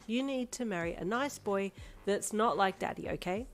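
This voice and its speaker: Woman as a teasing, gently chiding young mum. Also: mid-range voice, moderate pace, natural voice. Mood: gentle teasing.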